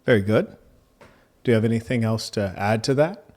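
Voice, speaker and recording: A man hosting a debate, speaking in a measured, formal manner and asking probing questions. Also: on the low side, darkish tone. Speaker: debate host.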